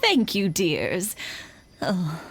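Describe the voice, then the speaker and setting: A woman voicing a kindly, doting old village wife in an anime game, gentle and a little fussing. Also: on the low side, sing-song, extremely slow, husky, soft background music, full character voice. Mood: gentle, fond.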